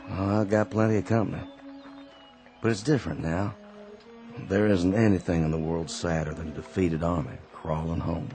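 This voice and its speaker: A man voicing a weary Confederate soldier walking home, with a gentle, resigned drawl. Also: deep voice, fairly even intonation, moderate pace, lightly acted. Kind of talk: radio drama acting. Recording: radio drama with music behind.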